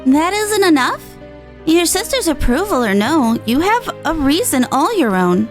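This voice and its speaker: A woman's voice: sits high, very animated, a little slow, voice pushed up. A woman voicing a small, motherly innkeeper from a video game, caring, folksy and encouraging. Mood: gently firm. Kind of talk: video game dialogue read in character. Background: game music.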